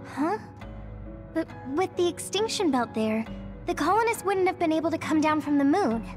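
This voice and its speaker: A woman playing a perky, candid teenage girl in a video game, expressive and informal. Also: very high voice, normal speed, music in the background. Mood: startled, puzzled. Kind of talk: scripted video game voice acting.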